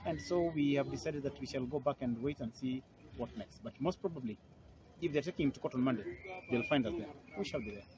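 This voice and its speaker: A man's voice: mid-range voice, quick pace, highs cut off, crowd noise. A man, a lawyer speaking to the press outdoors at an airport, indignant and emphatic, repeating phrases for force. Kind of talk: press interview. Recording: noisy outdoor field recording.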